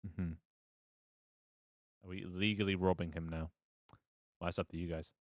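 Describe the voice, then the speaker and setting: A man's voice: low-pitched, flat delivery, normal speed, dry recording, clean studio sound. A man casually running a tabletop game as game master, hesitant, self-deprecating and prone to swearing.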